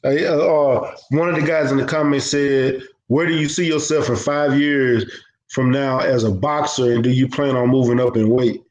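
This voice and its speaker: A man hosts a casual interview, asking questions in a loose, friendly way. His voice is mid-pitched. The sound carries a roomy echo. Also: moderate pace, dull audio. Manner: relaxed, friendly questioning with fillers. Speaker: host.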